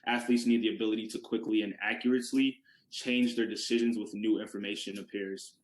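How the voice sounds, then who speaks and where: Young man presenting in an echoey room. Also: on the low side. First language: Yoruba. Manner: conversational, restarts words, asks rhetorical questions. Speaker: student presenter. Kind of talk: casual classroom presentation.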